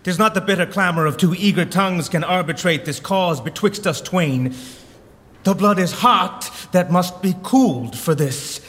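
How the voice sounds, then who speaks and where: Hot-blooded proud duke, high voice, shakespeare verse, very emphatic, lots of hall echo. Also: male voice, normal speed, fairly bright tone. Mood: contemptuous anger. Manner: emphatic, formal, declamatory. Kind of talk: Shakespearean verse drama acting. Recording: long echoing hall reverb.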